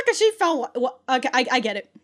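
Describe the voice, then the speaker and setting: A woman's voice: high voice, wildly swooping pitch, moderate pace, a bit bright. A young woman hosting an anime reaction video from a small room at home, chatty, laughing often and swearing in outbursts. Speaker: anime reaction channel host. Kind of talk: casual reaction commentary.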